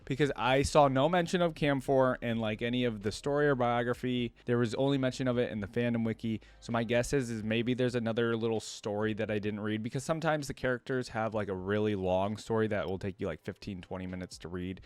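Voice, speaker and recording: A man's voice: medium pitch, fairly even intonation, brisk, hardly any pauses, smooth, dry recording. A man hosting a reaction video, commenting casually on game voice lines and musing aloud with frequent questions.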